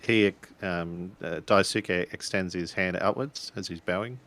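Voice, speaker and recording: Hesitant man with a lowish voice. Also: a little slow, a bit bright. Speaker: tabletop game player. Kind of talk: describing character actions in a roleplaying game.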